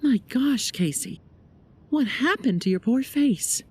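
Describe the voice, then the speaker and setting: A woman's voice: high-pitched, lively intonation, slow pace, a little mellow, breathy edge, full character voice. Woman playing a motherly, firm middle-aged lady. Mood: shocked concern. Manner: caring, insistent. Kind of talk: dramatic audio drama acting.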